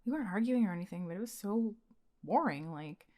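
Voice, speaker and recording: Casting: young woman, voice actress playing a blunt heartbreaker best friend, mid-pitched, casual and expressive, intimate audio roleplay. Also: brisk, natural voice. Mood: exasperated, casual. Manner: casual, expressive, sighing, sweary. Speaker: voice actor in ASMR roleplay. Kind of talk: intimate conversational ASMR roleplay acting.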